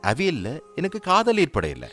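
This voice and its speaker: An actor playing a gentle young husband with a Sri Lankan Tamil accent, earnestly reassuring his wife. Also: a little high, huge pitch swings, moderate pace, faint music behind. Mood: tender. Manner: soothing, earnest explaining. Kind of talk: radio drama acting.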